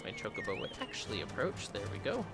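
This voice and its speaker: A man's voice: on the low side, wildly swooping pitch, quick pace. A man doing casual, playful gaming commentary as a livestreamer, swearing freely. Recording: game music behind the voice. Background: game music.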